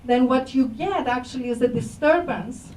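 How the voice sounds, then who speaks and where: Middle-aged Greek-accented woman, friendly lecturer, quizzes the audience; highish voice, distant mic. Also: moderate pace, darkish tone. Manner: friendly, engages audience with questions, many fillers. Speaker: astronomy professor. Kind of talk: public science talk. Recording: echoey room, voice from far off mic.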